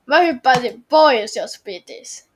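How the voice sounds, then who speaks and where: High female voice, gameplay chat, excitable, dramatic exclamations, pleading. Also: a little hiss or hum. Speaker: gamer playing and reacting.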